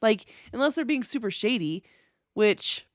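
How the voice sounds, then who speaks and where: A woman's voice: on the high side, animated, unhurried, no room sound, studio quality. A young woman with a congested voice hosting a podcast, talking in a casual, skeptical way with lots of fillers. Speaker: podcast host. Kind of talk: casual conspiracy podcast narration.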